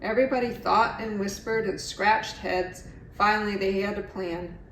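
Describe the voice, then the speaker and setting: A woman's voice: mid-range voice, steady tone, moderate pace, fairly bright tone, recorded from a distance. A middle-aged woman reading a children's story aloud expressively, as a storyteller. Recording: echoey room, faint background noise.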